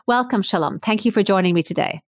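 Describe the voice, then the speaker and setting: A woman hosting an interview podcast, reading scripted introductions and asking lively questions. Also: mid-range voice, quick pace, no echo, studio quality. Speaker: podcast host.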